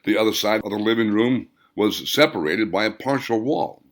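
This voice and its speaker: A man reading his own fiction aloud as scripted audiobook narration, in a deliberate manner. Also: lowish voice, animated.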